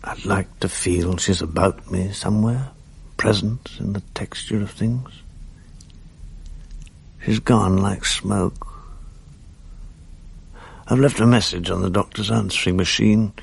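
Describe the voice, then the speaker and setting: A man's voice: lowish voice, slow pace, creaky, pauses often, slightly rough, heavily acted. An actor playing an ailing, grieving, lonely man speaking his thoughts in a subdued, reflective radio drama monologue. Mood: grieving, weary, then anxious, low.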